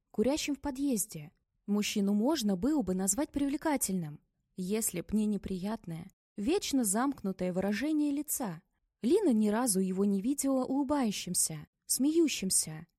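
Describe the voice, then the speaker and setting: A woman narrating a story in an even, measured reading voice. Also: medium pitch, no room sound, clean studio sound. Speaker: audiobook narrator. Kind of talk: scripted audiobook narration.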